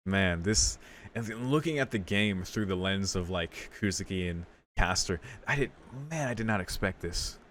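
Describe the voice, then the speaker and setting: A man's voice: deep voice, animated, normal speed, few pauses, fairly bright tone, a little hiss or hum. A man doing a casual, reflective let's play of a visual novel, commenting and reading the text aloud. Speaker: streamer playing a visual novel. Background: game music.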